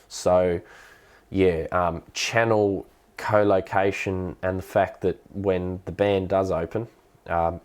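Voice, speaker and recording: A man with an Australian accent and a cold, explaining things casually and haltingly as the host of a hobbyist live stream. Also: low-pitched, darkish tone. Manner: halting, hesitant delivery with repeated words. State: has a cold.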